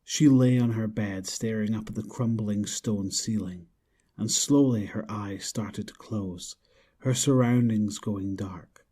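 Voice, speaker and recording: Low, mellow man narrating horror. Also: steady tone, slow, slightly rough. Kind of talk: scripted horror drama narration.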